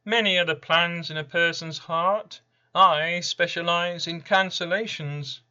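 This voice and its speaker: A man's voice: fairly high pitch, steady tone, measured pace, pushed and bright, smooth, muffled recording, voice pushed up. A man voicing an ominous, smugly self-assured horseman spirit of random misfortune in a declamatory poetry reading. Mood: smug menace.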